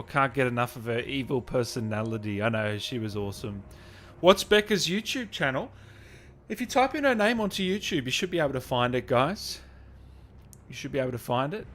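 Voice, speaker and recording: A man's voice: mid-range voice, fairly bright tone. A man hosting a casual live stream, reading out comments and thanks in an enthusiastic, matey, informal way.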